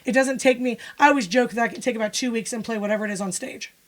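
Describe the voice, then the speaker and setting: A woman's voice: a little high, racing. A woman from Pennsylvania, a musician and music teacher, speaking frankly and wryly in a casual interview. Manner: frank, matter-of-fact, wry.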